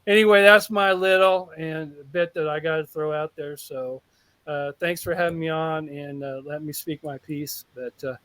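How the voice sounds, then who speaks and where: A man on a group video call gives a long, eager testimonial, rambling and often circling back mid-sentence. His voice sits slightly high. The sound is dry and close, with no room around it. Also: steady tone, moderate pace, fairly bright tone, clean tone, no echo, rough recording. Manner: rambling, enthusiastic, restarts often. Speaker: call participant.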